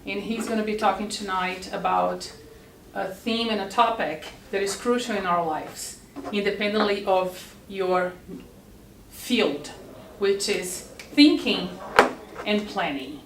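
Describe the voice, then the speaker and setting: Woman, event host, formal introduction, measured delivery, roomy echo, far from the mic. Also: medium pitch, a little hiss or hum. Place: lecture room with live audience. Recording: echoey room, mic far off.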